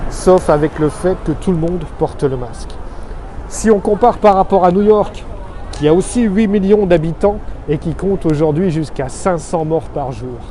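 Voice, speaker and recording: A middle-aged man from Alsace, France, giving an earnest, measured street-side monologue full of figures, recorded outdoors on a city street. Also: a little high, warm tone. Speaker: expatriate vlogger. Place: outdoors on a city street below his office. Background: faint street and traffic noise.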